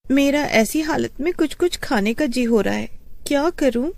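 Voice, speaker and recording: A woman voicing a young, curious, fixated wife in an animated horror story, musing and gently pleading. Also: sits high, moderate pace, gravelly, no room sound, studio quality, voice pushed up. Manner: musing, questioning.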